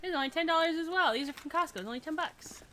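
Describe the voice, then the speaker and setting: A young woman streaming a game with a friend, chatting casually in a loud, projecting voice. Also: high-pitched, racing, a crowd in the background. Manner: chatty, showing off plushies. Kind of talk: casual chat.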